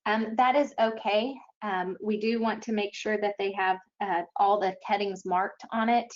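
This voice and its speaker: A woman's voice: medium pitch, fairly even intonation, moderate pace. Woman giving a webinar briefing, muffled audio. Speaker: event organizer presenting rules.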